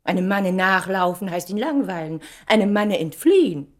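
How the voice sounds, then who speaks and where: An actress in a German radio play playing a proud, probing woman who speaks in a deliberate, pointed, dramatic way. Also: mid-range voice, expressive, natural voice. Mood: reproachful. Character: Valerie, proud woman, probing, articulate, emotionally insistent.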